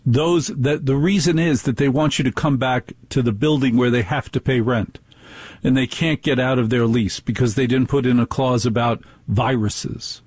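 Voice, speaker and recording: A man's voice: medium pitch, steady tone, normal speed, darkish tone, husky, no room sound, studio quality. A man hosting a radio talk show, ranting in a rambling monologue and mocking others in put-on voices.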